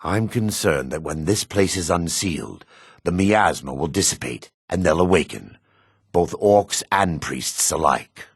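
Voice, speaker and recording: An actor playing an older dark elf priest in a video game, grave, devout and weary, with a gravelly, husky voice and solemn, formal phrasing. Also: male voice, low-pitched, animated, a little slow, no echo, clean studio sound, heavily acted. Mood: grave, ominous. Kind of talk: video game character acting.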